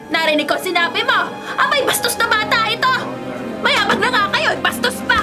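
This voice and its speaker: An actress playing an indignant, scolding aunt with a shrill delivery in a Filipino radio drama. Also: female voice, expressive, normal speed. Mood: outraged. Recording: loud music behind the dialogue.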